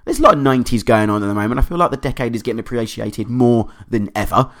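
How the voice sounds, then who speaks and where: A man hosting a podcast, hoarse from a cold, chatting in an enthusiastic, rambling way. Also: medium pitch, lively intonation, fast talker, fairly bright tone. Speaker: podcast host. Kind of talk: casual podcast monologue.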